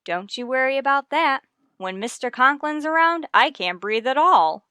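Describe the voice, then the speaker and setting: High-voiced, wry wisecracking schoolteacher. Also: female voice, animated, moderate pace, pushed and bright, smooth. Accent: American. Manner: dry, wisecracking delivery. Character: wry, sardonic female schoolteacher, quick with dry quips. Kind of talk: vintage radio sitcom acting.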